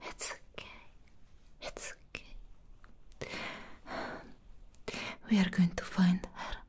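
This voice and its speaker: Woman acting as elder vampire sister, slow breathy whisper, grave n protective, intense asmr roleplay. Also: a little mellow. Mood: tender, protective. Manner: slow, dramatic, intense delivery. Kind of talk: soft-spoken ASMR roleplay.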